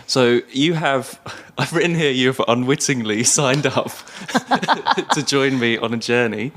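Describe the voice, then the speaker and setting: Casting: middle-aged man, medium pitch, casual podcast co-host who chuckles easily. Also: lively intonation, a little slow, fairly bright tone. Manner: chuckles often while talking. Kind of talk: casual chat about films and books.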